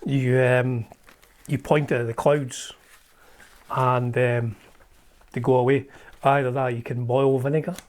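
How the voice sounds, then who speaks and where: A man's voice: medium pitch, flat delivery, a little slow, pauses often, a little mellow. A middle-aged man with a Scottish accent, hosting and chatting casually as he flips through an old magazine, rambling with frequent ums and restarts. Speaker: host.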